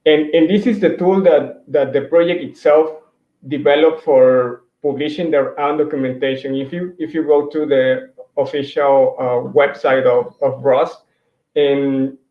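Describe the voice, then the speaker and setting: A man walks through his slides at an ordinary pitch, pausing on fillers and restarting his sentences as he goes. His voice comes through a video-call mic in an echoey room. The sound is somewhat dull and lo-fi. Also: steady tone. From Mexico. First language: Spanish. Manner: hesitant, many restarts and fillers. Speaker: conference speaker. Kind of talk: virtual tech talk with slides. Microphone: computer mic on a video call.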